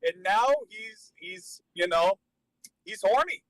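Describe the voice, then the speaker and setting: A man chatting casually as a podcast panelist, halting and joking as he goes. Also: high-pitched, fairly bright tone, poor audio. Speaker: podcast after-show panelist. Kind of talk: casual chat.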